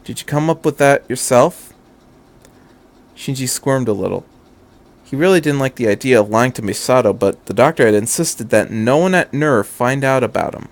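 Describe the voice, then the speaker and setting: A man's voice: mid-range voice, expressive, a little fast. A man narrating a scripted fan fiction story as an amateur audiobook reader.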